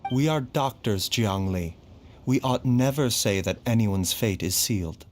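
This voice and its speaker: A man playing a calm, courteous, self-sacrificing doctor in video game dialogue, with a polite, composed and reassuring manner. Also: on the low side, measured pace, a little hiss or hum, lightly acted. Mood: gently resolute. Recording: background music under dialogue.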